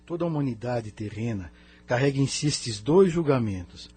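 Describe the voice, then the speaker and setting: A man's voice: mid-range voice, animated, normal speed, dark tone, breathy edge. A man with a Brazilian accent playing a venerable spirit counsellor in an audio drama, sober and didactic. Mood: sober, counselling. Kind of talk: scripted audio drama dialogue.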